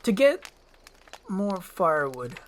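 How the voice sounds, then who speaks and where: Woman playing an awkward, halting, expressive ogre. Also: lowish voice, pitched above the performer's own voice. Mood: defensive. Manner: halting, defensive, bashful. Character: awkward, defensive male ogre, secretly lovestruck. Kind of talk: animated film script read aloud.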